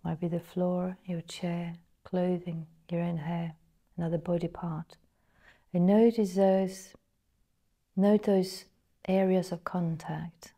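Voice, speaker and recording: A woman guiding a meditation in a soft, breathy, partly whispered voice, measured and full of pauses. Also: on the low side, flat delivery. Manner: slow, measured, pausing between phrases. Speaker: meditation guide. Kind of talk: guided meditation narration.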